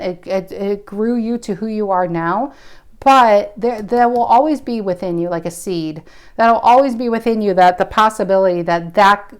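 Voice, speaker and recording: A woman in her mid-forties giving a casual tarot reading, thinking aloud in a musing, intuitive way with frequent restarts. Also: mid-range voice. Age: 40s. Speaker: tarot reader.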